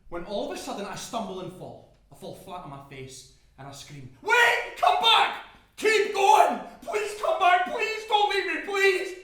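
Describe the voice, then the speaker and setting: An actor playing a desperate oil rig worker fleeing a fire, with intense, emphatic delivery in a dramatic monologue. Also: male voice, high-pitched, lively intonation, fairly quick. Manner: intense, emphatic, swinging into screams. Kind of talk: dramatic first-person stage monologue. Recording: very echoey room.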